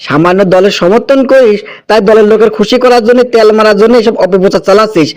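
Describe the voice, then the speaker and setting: An indignant cartoon village uncle, voiced by a man, scolds in a high voice and at a rapid clip. His speech has a Kushtia accent from Bangladesh, and he hectors with rhetorical questions. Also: a little mellow, close to an everyday voice. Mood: angry scolding. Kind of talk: animated cartoon dialogue.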